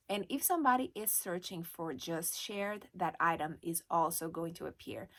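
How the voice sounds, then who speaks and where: A woman's voice: fairly low pitch, fairly bright tone. A woman from Brazil with a Brazilian Portuguese accent, chatting casually to camera as an online reseller, with many fillers and restarts. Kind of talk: casual vlog talk to camera.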